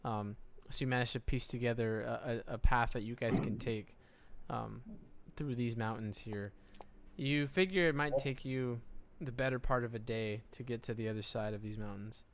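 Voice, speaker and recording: A man acting as game master, casually narrating a tabletop role-playing game in halting phrases. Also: medium pitch, steady tone.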